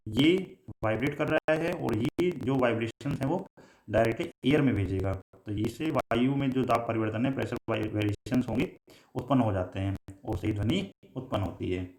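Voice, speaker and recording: A man with an Indian accent giving a technical lecture, explaining in a halting, repetitive way and mixing in English terms. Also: mid-range voice, a little mellow. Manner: halting, repetitive explaining with frequent filler phrases. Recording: echoey room.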